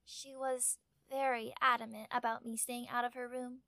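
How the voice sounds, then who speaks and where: A woman playing a caring, fussy palace attendant in an audio drama, with an expressive, exasperated delivery. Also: a little high, normal speed, gravelly, no echo, studio quality. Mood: formal, nervous.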